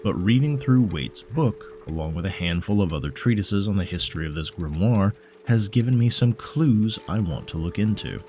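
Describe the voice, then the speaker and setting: A man voicing a bookish, earnest occult scholar in an audio drama, explaining things in a measured, pedantic and faintly exasperated way. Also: fairly low pitch, lively intonation, darkish tone, soft background music, close to an everyday voice. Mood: intent, precise.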